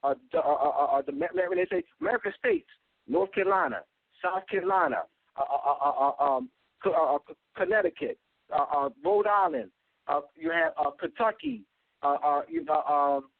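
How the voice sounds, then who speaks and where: A man giving an insistent talk, stopping often to fill pauses with ums and uhs, heard over a phone line. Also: high voice, sing-song, creaky, dry recording. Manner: insistent, repetitive, many filler ums and uhs. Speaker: guest speaker. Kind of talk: call-in lecture, talking to a host. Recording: tinny phone line, dry.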